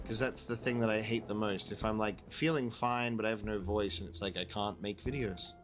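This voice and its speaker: A man's voice: fairly low pitch, steady tone, brisk. A man with a congested, still-recovering voice giving chatty, rambling let's-play commentary. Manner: chatty, rambling, self-deprecating. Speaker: gaming video creator. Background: game music.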